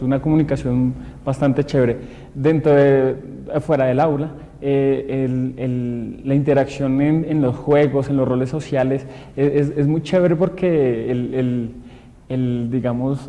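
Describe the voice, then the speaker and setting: A man's voice: mid-range voice, dark tone, in a large hall. A man answering an interview in a casual, hesitant way, often repeating words.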